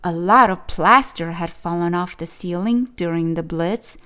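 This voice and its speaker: A woman reading a children's picture book aloud as a storyteller, with expressive, clearly phrased narration. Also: lowish voice, unhurried.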